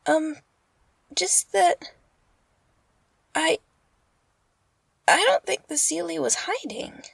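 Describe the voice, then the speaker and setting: A woman's voice: very high-pitched, very animated, slow pace, vocal fry, a bit bright, no echo, full character voice. A woman playing a timid, polite young lady rescued from captivity, hesitant and deferential, in an audio roleplay.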